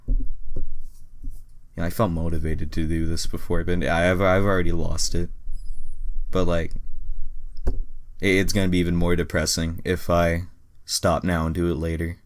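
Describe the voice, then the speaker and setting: A man's voice: low voice, flat delivery, normal speed, pauses often. A young man reading an amateur story aloud in a mocking way, breaking off for sarcastic, profane asides. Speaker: reader. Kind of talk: mocking dramatic reading of amateur fan fiction.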